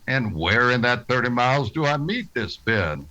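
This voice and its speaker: A man playing a steady, dry-humored Old West marshal in an old-time radio western, terse and deadpan. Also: mid-range voice, moderate pace, muffled recording. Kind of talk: old-time radio western acting, with first-person narration.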